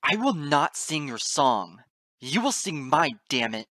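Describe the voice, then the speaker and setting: Furious fantasy pony hero played by a male narrator: raised, forceful, bright shouting, desperate and defiant. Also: a little high, big pitch swings, measured pace, dry recording, clean studio sound, pitched above the performer's own voice, heavily acted. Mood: enraged, scornful. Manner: shouting, snarling, ranting. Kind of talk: dramatic fantasy story reading.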